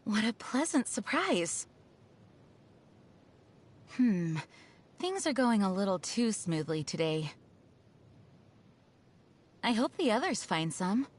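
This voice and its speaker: A woman voicing a composed, watchful young female adventurer in a video game, unhurried in manner. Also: medium pitch, big pitch swings. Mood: calm, watchful.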